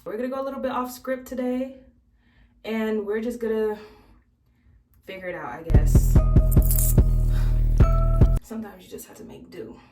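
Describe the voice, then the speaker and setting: A woman's voice: a little high, moderate pace, pauses often, over loud music. A young woman talking to camera as she does her hair, casual and chatty. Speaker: beauty vlogger. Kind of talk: casual hair tutorial talk. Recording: roomy, from a distance.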